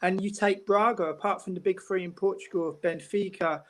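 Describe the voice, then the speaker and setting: A man speaking as a guest pundit in a casual football discussion, measured and explanatory, often repeating words as he thinks. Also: sits high, steady tone, fairly bright tone.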